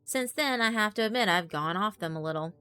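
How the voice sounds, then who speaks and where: A woman narrates a first-person story in a wry, conversational, expressive way. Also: medium pitch, fairly quick. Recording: background music under the voice. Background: background music.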